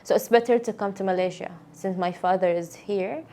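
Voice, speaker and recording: Female, 20s, from Syria. Soft-toned documentary interviewee recounting personal history, medium pitch. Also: fairly quick.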